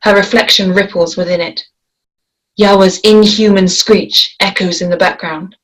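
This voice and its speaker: A woman's voice: mid-range voice, steady tone, moderate pace, low-quality recording. A woman reading stage directions as scripted narration at a table read. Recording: faint noise and clicks.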